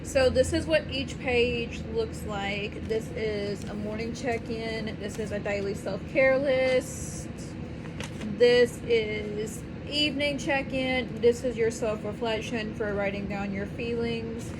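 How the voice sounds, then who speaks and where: A woman in her twenties, hoarse and raspy from swollen tonsils, talking earnestly and rambling in a casual home vlog. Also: on the high side, steady tone, slow pace. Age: 20s. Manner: earnest, rambling, repeats herself. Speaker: vlogger. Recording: home recording with clear background noise.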